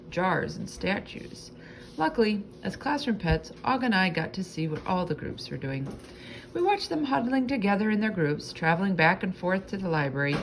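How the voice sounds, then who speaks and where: Lower-pitched, creaky woman reading a storybook. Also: a little hiss or hum, poor audio. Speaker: storybook reader. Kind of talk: expressive scripted children's read-aloud narration.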